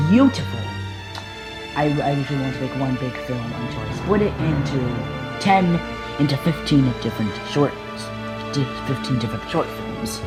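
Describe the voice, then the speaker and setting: A filmmaker narrating a behind-the-scenes vlog in a boastful, halting, repetitive way. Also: mid-range voice. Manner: boastful, self-congratulatory. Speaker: filmmaker making analog horror videos.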